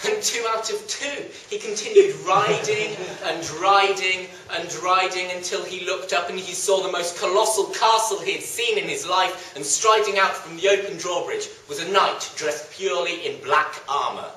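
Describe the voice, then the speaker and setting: Theatrical storyteller, bright voice, stretches words for drama, roomy and bit noisy. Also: medium pitch. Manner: dramatic, drawn-out emphasis. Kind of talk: theatrical scripted narration. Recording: echoey room, distant mic, faint noise.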